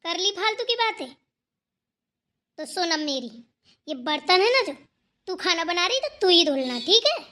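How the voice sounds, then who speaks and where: A woman's voice: very high-pitched, very animated, normal speed, long pauses, bright, forceful tone, heavily acted. A woman voicing a haughty, quarrelsome elder sister-in-law in a Hindi family cartoon, scolding, sarcastic and dismissive. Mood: irritated.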